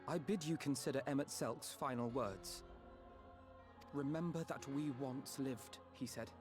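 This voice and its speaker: Game hero, male actor as principled scholar: mid-pitched, formal, diplomatic, sincere, with clear score behind. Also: close to an everyday voice. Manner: measured, formal, reasoning. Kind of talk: dramatic fantasy video game acting. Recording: music behind the voice.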